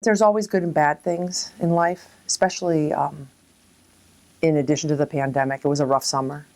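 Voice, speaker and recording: A woman speaking reflectively and earnestly in an interview, in a halting way. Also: sits low, steady tone, a little mellow, no echo.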